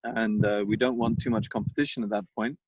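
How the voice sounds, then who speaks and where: South African winemaker coughing outdoors. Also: male voice, lowish voice, steady tone, darkish tone, slightly rough, dry recording, rough recording. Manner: halting, many fillers and restarts. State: coughing, tired. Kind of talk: casual outdoor vineyard talk. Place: outdoors in a vineyard.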